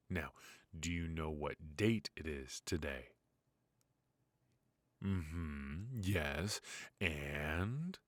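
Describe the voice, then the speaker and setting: A man playing a weary, ruthless yet fatherly mafia boss in an intimate ASMR roleplay, with a low, husky, breathy voice and a wry, sighing delivery. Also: no room sound, full character voice. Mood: worried, hushed. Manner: slow, pausing, sighing, wry.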